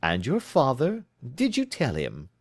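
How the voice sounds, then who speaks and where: A man's voice: medium pitch, huge pitch swings, unhurried, no room sound, studio quality, voice pushed up, full character voice. A man playing a confident, commanding detective. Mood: authoritative. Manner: crisp, authoritative, reassuring. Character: brisk, self-assured Victorian male detective.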